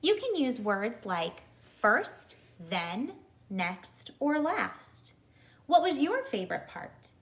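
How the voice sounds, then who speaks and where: A woman reading a children's story aloud, addressing young listeners deliberately and pausing to ask them questions. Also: a little high, big pitch swings.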